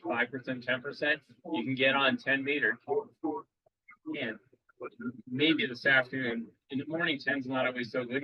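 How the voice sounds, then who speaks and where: A man's voice: mid-range voice, no room sound, lo-fi sound. Rambling man quizzing a club audience. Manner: rambling, with frequent restarts. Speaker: presenter at an amateur radio club meeting. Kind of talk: live demo talk quizzing the audience.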